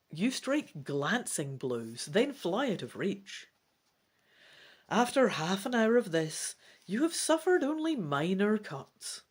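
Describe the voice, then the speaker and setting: A woman reading an adventure gamebook aloud in a theatrical, deliberate style. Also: medium pitch, breathy edge. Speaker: gamebook reader and player. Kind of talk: dramatic storybook narration.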